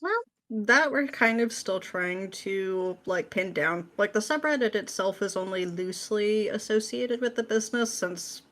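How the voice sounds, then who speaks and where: A woman chatting casually as a podcast guest, with an animated, informal way of talking. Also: medium pitch, measured pace. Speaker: podcast guest, script writer. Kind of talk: casual interview chat.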